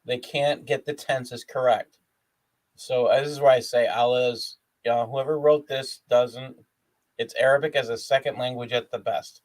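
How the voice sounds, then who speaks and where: A man with a medium-pitched voice who talks like an educator. He explains each point step by step in a casual, conversational way. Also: steady tone, moderate pace, rough recording. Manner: explanatory, like a teacher walking through a grammar point. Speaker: co-host, educator. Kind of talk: casual critical discussion.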